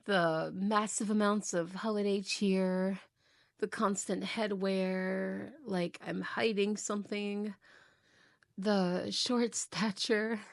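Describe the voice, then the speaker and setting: A woman's voice: mid-range voice, steady tone, slow. A woman playing a cheerful, chatty elf who loves Christmas and passes as a human roommate, in an ASMR roleplay. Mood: sheepish, awkward. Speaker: roleplay voice actress.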